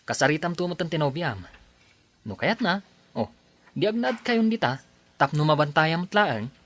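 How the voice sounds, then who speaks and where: An actor playing a supportive male friend who gives calm advice in a radio drama. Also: fairly high pitch, normal speed. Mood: advising.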